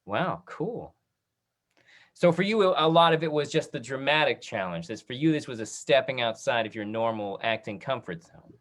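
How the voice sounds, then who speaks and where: A man's voice: mid-range voice, animated, moderate pace, fairly bright tone. A man hosting a casual interview on a video call, affirming and summing up what he hears. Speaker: host of a talk back discussion.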